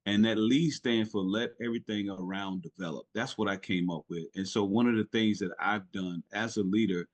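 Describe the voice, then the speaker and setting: Guest spot: middle-aged man with a lowish voice, earnest and halting, retired navy chief telling his story. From South Carolina, raised in Italy. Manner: earnest, lots of fillers and restarts. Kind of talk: casual podcast interview.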